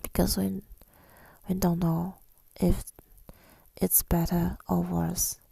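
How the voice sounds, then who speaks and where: A woman speaking in a soft, breathy whisper in an ASMR style, reflective and hesitant, pausing often, close to the microphone. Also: lowish voice, little pitch movement, no room sound. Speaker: ASMR creator. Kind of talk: ASMR, rambling personal reflection.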